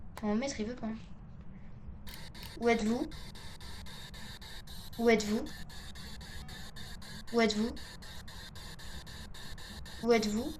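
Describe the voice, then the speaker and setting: A woman streamer with a fairly high voice talks casually through her game. She mutters half to herself and repeats short phrases. Clear background noise and ambience sit behind her. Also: fairly even intonation, slow, pauses often, dark tone. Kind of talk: casual gameplay commentary, thinking aloud.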